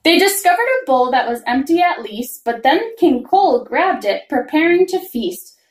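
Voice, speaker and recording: Female storyteller for children's picture book, very animated singsong read, roomy sound. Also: high-pitched, normal speed. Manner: expressive, singsong rhyming delivery. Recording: roomy, echoing room sound.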